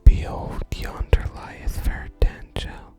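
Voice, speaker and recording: Soft, breathy ASMR whisper over music. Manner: gentle, deliberate reading of archaic dialogue with casual asides. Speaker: ASMR gaming content creator. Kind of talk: whispered ASMR game playthrough, reading dialogue aloud and commenting. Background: game music.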